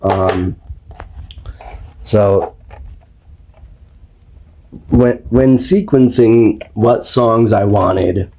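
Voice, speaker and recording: A man talking casually as a video host, explaining things step by step in a rambling, unhurried way. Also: lowish voice, dark tone, low-quality recording. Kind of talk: casual explanatory vlog talk. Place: indoors at home, behind the camera.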